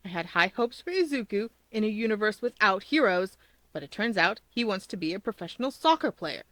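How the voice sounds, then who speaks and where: A woman's voice: mid-range voice, big pitch swings, a little fast, a bit bright, no echo. A woman voicing a grandiose, gleeful male supervillain who monologues pompously in a fan fiction reading. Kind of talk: fan fiction audiobook character voice.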